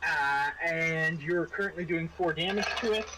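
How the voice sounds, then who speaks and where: A man improvising as game master, narrating and explaining rules in a halting, rephrasing way. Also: a little high, heavy background noise.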